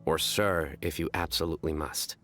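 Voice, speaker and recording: A man voicing a stern young general with a deadpan, formal, measured manner in a video game. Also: a bass voice, faint music behind. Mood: formal, solemn. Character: stern, deadpan young male general who tells puns.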